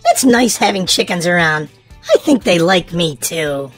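A woman reads a children's storybook aloud in an expressive, sing-song way, leaning on key words for young listeners. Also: lowish voice, measured pace, faint music behind.

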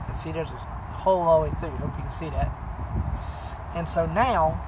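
A man's voice: a little high, moderate pace. A man, casually showing fishing tricks to the camera outdoors, rambling with many fillers. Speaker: fishing channel host. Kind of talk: casual how-to demonstration. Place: outdoors by a pine wood. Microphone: camera close at arm's length. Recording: noisy outdoor camera audio.

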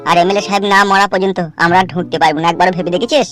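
Man acting as nervy cartoon schemer, male, rapid speech, slangy, coarse, faint music behind. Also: high voice, fairly even intonation, a bit bright. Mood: anxious, bickering. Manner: coarse slangy back-and-forth. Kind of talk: comedy cartoon dialogue.

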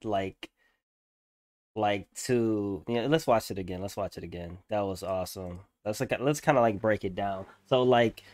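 A young man with a low-pitched voice hosts a casual reaction video. He rambles informally and uses plenty of slang. The sound is dry and close, with little room around it. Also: moderate pace, a little mellow, dry recording.

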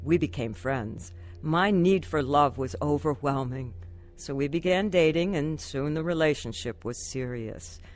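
A woman's voice: low-pitched, measured pace. Troubled wife and mother, radio drama, earnest and confessional, emotive delivery, faint music. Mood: regretful. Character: troubled wife and mother telling her life story, earnest, sorrowful. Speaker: lead actress narrating. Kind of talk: radio drama first-person narration.

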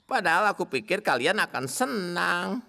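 A woman's voice: on the low side, sing-song, moderate pace, gravelly, sharp tone, heavily acted. A woman voicing a devoted, fussy old male sheep butler in a cartoon, formal and eager to please, with dramatic exclamations. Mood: glum. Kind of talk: cartoon dub acting.

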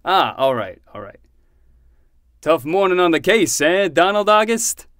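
A man's voice: high-pitched, big pitch swings, measured pace, a bit bright, no room sound, heavily acted. Wry, indulgent uncle played by a man, dry sound. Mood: teasing. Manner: wry, playful, affectionate. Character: indulgent uncle playing bartender for his nephew. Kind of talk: naturalistic fiction podcast acting.

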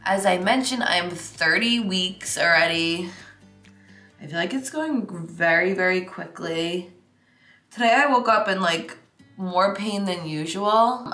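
A woman's voice: lowish voice, a bit bright, echoey room. A young woman vlogging casually while doing her makeup at home, rambling and chatty with lots of fillers. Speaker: beauty vlogger. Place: home makeup room, indoors.

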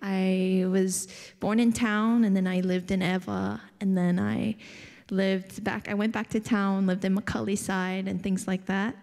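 A young woman from Hawaii speaks to an audience from a theater stage, relaxed and friendly, laughing lightly between thoughts. Her pitch sits in an ordinary middle range for a woman. The handheld mic picks up a long hall reverb around her. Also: measured pace, vocal fry. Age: young adult. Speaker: guest speaker. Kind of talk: casual talk to an audience. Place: large theater stage, seated. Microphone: handheld microphone.

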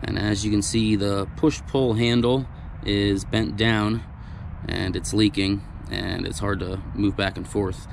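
A man with a lowish voice talks through a repair in a plain, practical way, dropping in fillers as he works. He is outdoors on a gravel lot, caught by a camera worn on his body, with a faint hum of the open air behind him. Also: fairly even intonation, a little slow. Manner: plain-spoken, explanatory, with frequent fillers. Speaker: truck repair technician. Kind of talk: hands-on technical demonstration.

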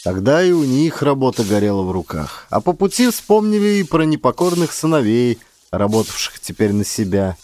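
Animated male audiobook storyteller. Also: medium pitch, normal speed, few pauses, slight background noise. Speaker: audiobook narrator, actor. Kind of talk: expressive literary storytelling.

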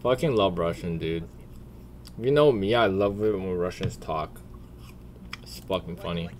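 A man's voice: sits low, unhurried, a little hiss or hum. A man giving casual, slangy reaction commentary as a streamer, blunt and full of swearing. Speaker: streamer and video creator.

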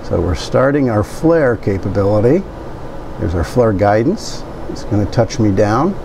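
A man's voice: on the low side, very animated, measured pace, vocal fry, noisy background. A man in his fifties talking through a technical demonstration as an instructing pilot, in a conversational way.

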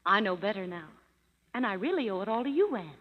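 Wistful sister, echoing old radio. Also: female voice, mid-range voice, big pitch swings, quick pace. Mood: wistful, happy. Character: tender, contented, reflective grown-up sister. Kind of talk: 1930s radio acting. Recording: muffled, reverberant 1930s radio broadcast.

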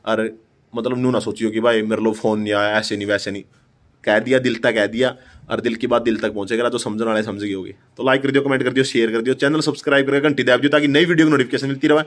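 A man's voice: mid-range voice, fairly even intonation, fast talker. A young man with a Haryanvi accent talks emphatically and casually to the camera as a vlogger, addressing his listeners like brothers. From Haryana, India. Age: young adult. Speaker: vlogger, host. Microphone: phone at arm's length.

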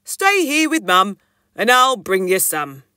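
A woman voicing an easygoing yet excitable father dinosaur, chummy and informal, in a children's storybook. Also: mid-range voice, takes it slowly, no room sound, clean studio sound, exaggerated voice. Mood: practical, kindly.

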